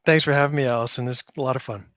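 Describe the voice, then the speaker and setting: A man's voice: mid-range voice, steady tone, very fast. A middle-aged man talking casually as an interview guest, with an enthusiastic, self-deprecating manner. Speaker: podcast guest, game creator.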